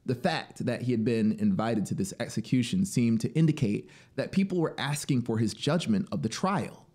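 A man's voice: mid-range voice, normal speed, darkish tone. A man in his thirties reading literary fiction aloud as a dramatic narrator, close to a studio microphone. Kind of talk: dramatic audiobook-style story narration. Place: small home music studio indoors. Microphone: close studio mic on boom arm.

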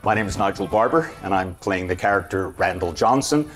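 Deep, measured actor. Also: male voice, expressive, fairly bright tone, faint music behind. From Los Angeles. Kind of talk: press interview.

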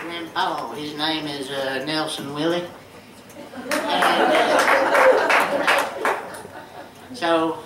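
A middle-aged man, fronting a bluegrass band and telling deadpan folksy jokes to a live theater audience between songs. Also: fairly high pitch, very noisy. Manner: folksy deadpan joking with the crowd. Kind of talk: stage banter between comic songs. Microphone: stage vocal mic, recorded by a far camera. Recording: echoey hall recorded from far off. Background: loud guitar and band music.

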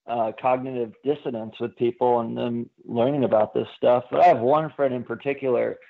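A tinny phone line carries a man's mid-range voice. He is a radio caller chatting casually, halting and rambling as he talks. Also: mid-range voice, steady tone, gravelly. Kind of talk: casual chat.

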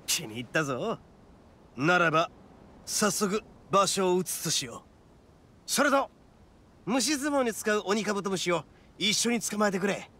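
Brash, animated young oni. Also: male voice, high voice, a little slow, pauses often, heavily acted. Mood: earnest, confident. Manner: cocky, rough slangy speech. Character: brash, boastful young oni man, hot-headed but soft-hearted. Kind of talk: anime game voice acting.

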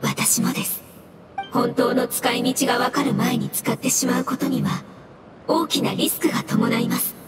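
A woman's voice: fairly high pitch, steady tone, moderate pace, natural voice. A woman voicing a polite, cautious floating water fungus spirit in a video game, speaking formally and deferentially. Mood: polite, concerned. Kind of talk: video game character acting. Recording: echoing voice effect over music.

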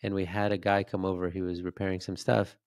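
A middle-aged co-host speaks with a low, gravelly voice. He listens closely and comes in with short replies and dry jokes, recorded dry and close on a studio mic. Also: flat delivery, fast talker, darkish tone, dry recording. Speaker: podcast co-host. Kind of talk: casual chat. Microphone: studio mic on boom, video call.

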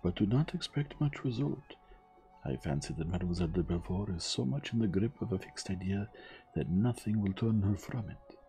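Male narrator doing a courteous, relentless Belgian detective, dark low tone. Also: lively intonation, faint music behind, low-quality recording. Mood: probing. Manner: measured, courteous, relentlessly probing. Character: shrewd elderly male Belgian detective, polite but probing.

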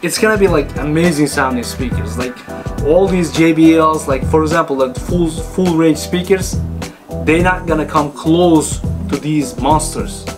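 A man's voice: a little high, unhurried, over music. A man giving a casual, unscripted product review, talking to viewers in choppy, informal phrases. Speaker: host reviewing car audio speakers.

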